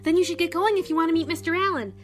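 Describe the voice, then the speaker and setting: A woman's voice: very high-pitched, racing, music in the background. A woman playing a chatty, outspoken young store clerk in a radio play, talking in a folksy, slangy, confiding way. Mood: friendly, confiding.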